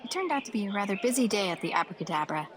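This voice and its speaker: A woman's voice: mid-range voice, big pitch swings, racing, a bit bright. A woman narrating a scripted fantasy story as the storyteller of an audio drama.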